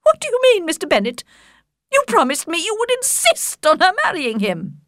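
A woman voicing a shrill, self-pitying middle-aged mother who complains loudly and dramatically. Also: huge pitch swings, moderate pace, dry recording, studio quality, full character voice. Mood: pleading, indignant. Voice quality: shrill, whining. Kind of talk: audiobook character voice.

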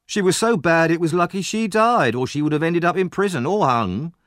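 A man's voice: a little high, animated, a little fast, fairly bright tone, dry recording, clean studio sound, pitched above the performer's own voice. A man voicing a spiteful, melodramatic teenage bully boy in a storybook reading. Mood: jeering. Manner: taunting, melodramatic. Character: spiteful teenage bully boy, jeering, then shrieking cowardly. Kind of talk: storybook narration character.

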